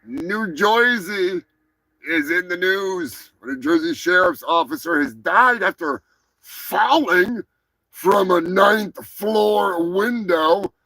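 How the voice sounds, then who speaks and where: A man's voice: sits high, animated, takes it slowly, vocal fry, pushed and bright. A man hosting a live show, talking over the news in a loose, sarcastic, rambling way with lots of swearing and laughter. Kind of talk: casual profane news commentary.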